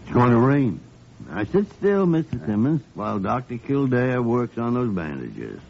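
An actor playing a kindly, plain-spoken senior doctor in 1940s radio drama style. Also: male voice, mid-range voice, very animated, moderate pace, vocal fry, darkish tone. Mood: concerned. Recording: dull, noisy old radio recording.

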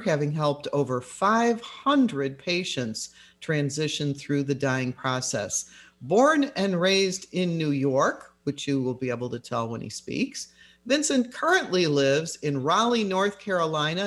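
A man's voice: a little high, a bit bright. A man co-hosting a talk show, reading a guest introduction in a friendly, upbeat way. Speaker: co-host.

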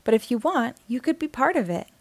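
A woman's voice: a little high, big pitch swings, brisk, dry recording. A woman reading the lines of a hurt, stubborn but loving young girl in a storybook. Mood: gentle. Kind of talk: storybook character dialogue.

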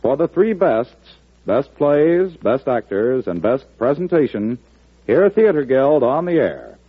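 American guy doing old 1940s radio announcer copy, polished and measured, tinny narrow recording. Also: mid-range voice, very animated, husky.

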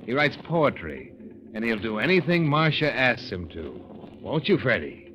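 An actor playing a wry, tough, clipped-talking investigator in an old-time radio detective drama. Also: male voice, medium pitch, sing-song, a little slow. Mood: needling, tough. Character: wry, hard-boiled insurance investigator, male, tough and needling. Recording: dull, noisy old radio recording.

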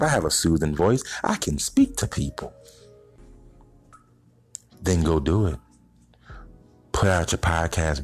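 Male, 30s, deep voice, informal motivational solo podcaster, slangy and direct, soft music underneath. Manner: direct address to listeners, slangy, rhetorical questions. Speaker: podcast host.

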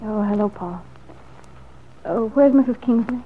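A woman's voice: a little high, measured pace, a little hiss or hum, close to an everyday voice. An actress playing a determined, anxious married woman in an earnest, dramatic old radio soap opera style. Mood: light, fond. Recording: old muffled radio recording.

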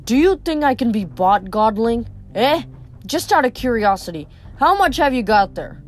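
A woman's voice: fairly high pitch, big pitch swings, normal speed. A woman reading an audiobook voices a dry, greedy old male ferryman of the dead, with faint music behind. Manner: dry, sardonic, haggling.

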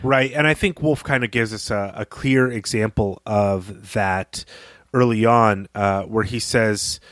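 A man co-hosting a discussion show, reasoning aloud in a reflective, tentative, conversational way with measured phrasing. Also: lowish voice, a bit bright, dry recording, studio quality. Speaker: podcast co-host discussing fiction.

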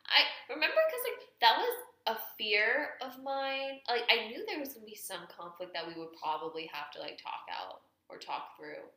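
A young woman co-hosting a podcast in casual chat, talkative and quick to laugh. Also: on the high side, big pitch swings, pushed and bright. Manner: laughs easily, chatty with many fillers. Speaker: podcast co-host. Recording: echoey room.

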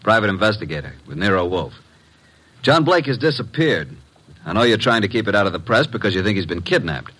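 An actor playing a breezy, wisecracking private detective's sidekick with an American accent, blunt and folksy, in a radio drama. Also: male voice, fairly low pitch, very animated, fairly quick, pushed and bright, slightly rough, heavily acted. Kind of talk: old-time radio drama acting.

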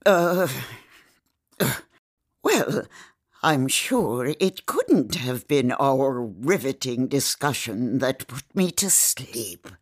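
A woman's voice: rumbling low, very animated, very slow, full character voice. An actress playing a grumpy, sardonic old lady who tells a theatrical story in a comedy audio drama.

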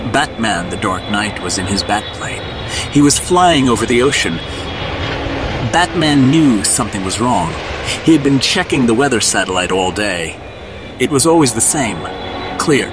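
A man narrating a scripted superhero story in a suspenseful, dramatic storybook style. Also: medium pitch.

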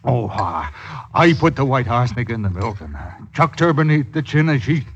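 An actor playing a doting, dim English villager who poisoned his wife, halting and repeating himself in 1950s radio drama style. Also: mid-range voice, big pitch swings, natural voice. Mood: dreamily confessing. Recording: dull, noisy old radio recording with clicks.

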